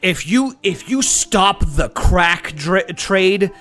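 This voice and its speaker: A man's voice: high voice, lively intonation, takes it slowly, a bit bright, soft background music. A man commentating casually on a game livestream, joking with a sarcastic, theatrical manner. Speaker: streamer and voice actor.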